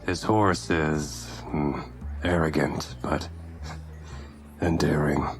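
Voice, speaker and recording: An actor in an audio drama playing a gruff, dry-witted barkeep with a curt, grudging way of talking. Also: male voice, rumbling low, fairly even intonation, slow pace, soft background music, exaggerated voice. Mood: wry, hesitant. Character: gruff, dry, grumbling male fantasy barkeep.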